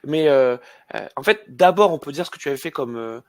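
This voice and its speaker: A man's voice: a little high, expressive, fairly quick, dry recording, studio quality. A man hosting an alumni webinar, speaking hesitantly with many fillers and repeated words. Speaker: webinar host. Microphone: video call.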